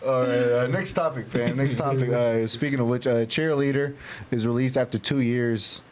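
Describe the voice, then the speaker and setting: A man's voice: medium pitch, fairly even intonation, normal speed, a little mellow. A man co-hosting a podcast in casual banter, with slangy, profane, jokey talk. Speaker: podcast co-host. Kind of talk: casual banter among friends.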